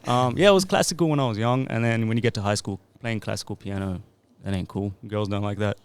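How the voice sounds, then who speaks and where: A man's voice: fairly low pitch, fast talker, clear, resonant tone. A man in his thirties, a music producer and DJ, talking in a laid-back, rambling way into a podcast mic. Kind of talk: casual podcast interview.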